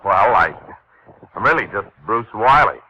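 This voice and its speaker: Bright, animated radio-drama man. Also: on the low side, a little slow, husky, heavily acted. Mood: eager, friendly. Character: earnest, grateful male acquaintance, eager to explain. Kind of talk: 1940s radio drama acting. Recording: muffled old radio broadcast.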